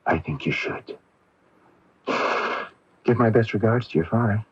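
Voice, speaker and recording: A man playing a kind, earnest young medical intern in a 1980s radio drama, speaking haltingly and soothingly in a husky voice. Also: lowish voice. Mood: soothing, firm. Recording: dull lo-fi 1980s AM radio recording.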